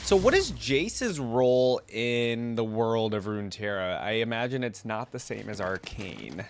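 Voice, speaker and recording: A man's voice: mid-range voice, big pitch swings, measured pace, a little hiss or hum. A man with a congested voice from a cold, giving casual, loose gaming reaction commentary and thinking aloud as he goes. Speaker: YouTube reactor and host.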